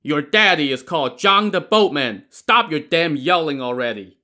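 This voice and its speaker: A man's voice: medium pitch, lively intonation, normal speed, pushed and bright. A man doing a cocky, threatening river boatman. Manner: mocking, threatening. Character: gruff scheming male river boatman, cocky.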